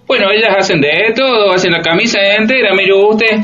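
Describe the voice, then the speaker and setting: Gullible factory boss, muffled sound. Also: male voice, sits high, a little fast, sharp tone. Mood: amazed. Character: gullible, easily impressed textile factory boss. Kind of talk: satirical radio play acting. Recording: dull radio drama, music behind.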